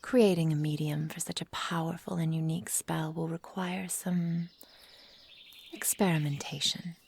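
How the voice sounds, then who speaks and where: A woman playing an adoring, possessive immortal forest witch in an intimate ASMR roleplay, with soft whispery speech, tender endearments and formal storybook phrasing. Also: low-pitched, fairly even intonation, a little slow, vocal fry, a little hiss or hum. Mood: devoted, resolute. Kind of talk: intimate ASMR roleplay, slow dramatic delivery.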